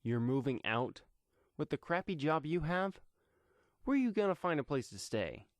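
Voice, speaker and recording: A man voicing a hot-tempered, scornful brother in a superhero audio story, agitated and given to loud outbursts. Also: fairly high pitch, big pitch swings, normal speed, no echo, voice pushed up. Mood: incredulous. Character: hot-tempered, tense brother of a young vigilante.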